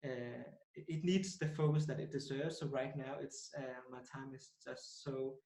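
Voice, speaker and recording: A man's voice: medium pitch, steady tone, normal speed, dark tone, echoey room. A man in his twenties from Denmark, talking casually as a podcast guest, hesitant with many fillers and restarts. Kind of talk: casual interview talk.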